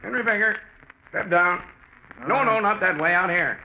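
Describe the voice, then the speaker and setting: An actor playing a clipped, official police lineup announcer in a 1940s radio drama. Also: male voice, high-pitched, normal speed. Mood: official, impersonal. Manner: clipped, list-reading. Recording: muffled, hissy 1940s radio recording.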